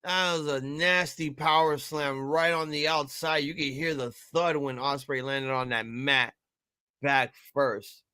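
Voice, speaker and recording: A man in his thirties hosting a live-reaction stream, chatting casually and rambling with profanity and sudden loud excited outbursts. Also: on the high side, measured pace, clear, resonant tone, no room sound. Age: 30s. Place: home room at a table.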